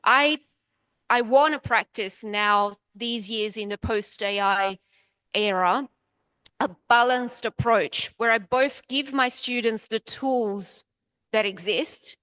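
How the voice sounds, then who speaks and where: A woman speaks frankly and emphatically as a panel lecturer. Her fairly high voice is dry and close to the mic. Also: slow pace, sharp tone, clean tone, no echo, rough recording. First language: Italian. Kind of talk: confident panel answer.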